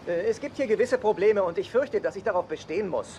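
A man's voice: sits high, lively intonation, fast, darkish tone. A man playing a fussy, needy, meek brother in a sitcom dub, speaking hesitantly and pedantically with dry wit. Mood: complaining. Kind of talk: dubbed sitcom dialogue. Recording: noisy TV sitcom soundtrack.

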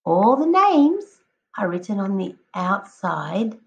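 A woman teacher reading a picture book aloud to children, expressively, addressing them directly and encouragingly with drawn-out emphatic words. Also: mid-range voice, sing-song, vocal fry, lo-fi sound, a little hiss or hum. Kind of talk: expressive children's read-aloud with prompting questions.

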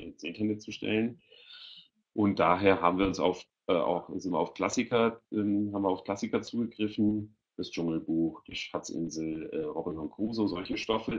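A middle-aged man explaining in an informal, somewhat hesitant way during an online presentation over a headset. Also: low voice. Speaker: panel guest presenting a children's audio portal. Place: indoor room, video call. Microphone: headset on a video call. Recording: dull lo-fi video-call audio.

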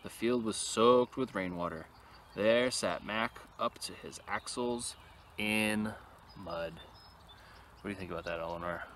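Man, bright voice, expressive storybook read-aloud, casual asides, outdoor recording, faint ambient noise. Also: lowish voice, unhurried. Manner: animated when reading, quieter and casual in asides. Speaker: storyteller reading a picture book. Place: outdoors in an open field.

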